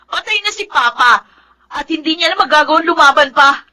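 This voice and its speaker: A woman's voice: high-pitched, animated, brisk, bright, forceful tone, full character voice, poor audio. A woman with a Filipino accent acting an aggrieved, defiant daughter in a melodramatic radio drama. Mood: bitter, defiant. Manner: confrontational, emotional, accusing. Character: defiant, aggrieved grown daughter defending her dead father.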